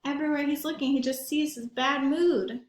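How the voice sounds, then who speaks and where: A high-pitched woman reads a children's picture book in an echoing room. She is animated and emphatic, and she turns to her young listeners with simple questions as she goes. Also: moderate pace. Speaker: read-aloud storyteller for young children. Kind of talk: expressive picture-book read-aloud with asides to children.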